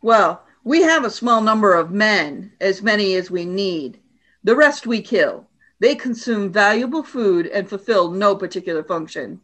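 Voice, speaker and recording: A woman's voice: medium pitch, lively intonation, measured pace, fairly bright tone, poor audio. Woman playing an imperious alien warrior commander, cold and stern. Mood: cold, severe. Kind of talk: radio play acting.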